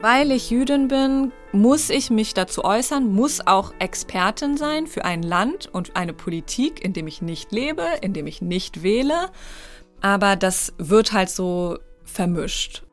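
A woman's voice: medium pitch, animated, measured pace, soft background music. A young woman, a rabbinical student, answering interview questions in an earnest, articulate way. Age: young adult. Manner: earnest, articulate, emphatic at times.

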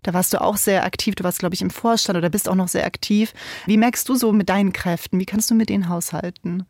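A woman interviewer asking measured, probing questions on a close mic in a dry room. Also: mid-range voice, fairly even intonation, darkish tone, no room sound.

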